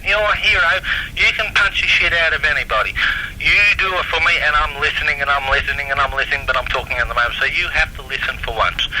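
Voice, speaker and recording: A man's voice: a little high, pushed and bright. An Australian man in a confrontational, sweary, repetitive and taunting rant over two-way radio. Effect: two-way radio transmission. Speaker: CB radio operator. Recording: faintly noisy UHF CB radio.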